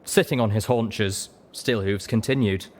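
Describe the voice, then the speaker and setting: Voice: medium pitch, measured pace, slight background noise. A narrator reading scripted first-person fiction as an audiobook.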